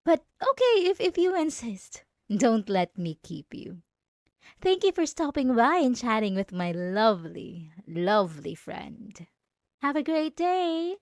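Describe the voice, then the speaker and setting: Woman playing a slow-talking, possessive schoolgirl bully. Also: on the high side, big pitch swings, darkish tone, no room sound, studio quality. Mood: falsely friendly, prying. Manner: taunting, sarcastic, switching to sugary false politeness. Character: possessive, jealous schoolgirl bully, mocking and threatening. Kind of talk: roleplay audio drama spoken to the listener.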